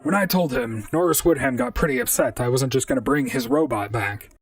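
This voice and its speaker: A robot gumshoe in a radio-style drama, dry-witted and chatty. The pitch swoops widely over a gravelly, creaky edge. The voice sits slightly back from the mic in a dry space. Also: huge pitch swings, a little fast, distant mic, background noise. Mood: dry narrating. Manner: dry, conversational first-person noir narration. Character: wry robot private detective, talkative and unflappable. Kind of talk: audio drama acting and narration.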